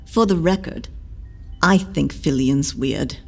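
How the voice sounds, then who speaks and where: A woman playing a blunt, teasing, motherly old matron healer with a creaky voice in an audio drama. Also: deep voice, takes it slowly, exaggerated voice. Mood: wry, frank. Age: older. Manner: dry, blunt, scolding affection.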